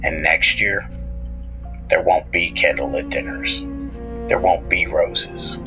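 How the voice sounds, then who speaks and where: A man's voice: deep voice, wildly swooping pitch, slow, creaky, lo-fi sound. A man narrating a scripted horror story as a show host, dramatically, in short clipped phrases. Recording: music bed under the voice. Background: music.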